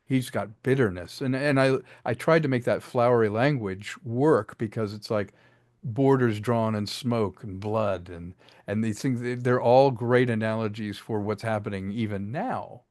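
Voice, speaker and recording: Director guest, a man with a lowish voice, animated, breaks into character voices, dry room. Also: moderate pace, no echo. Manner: animated, acts out lines while explaining. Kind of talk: casual interview talk.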